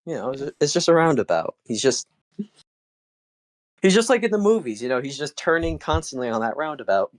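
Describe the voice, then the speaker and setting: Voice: medium pitch, very animated, fairly quick, no room sound. A co-commentator following a card game live in a casual way, often in short, clipped interjections. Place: apartment.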